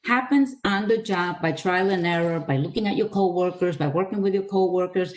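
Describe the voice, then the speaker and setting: Earnest older woman giving a webinar talk. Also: lowish voice. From Dominican Republic, raised in New York City. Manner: measured, earnest, with frequent "uh" fillers. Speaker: guest speaker, author. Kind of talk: webinar presentation.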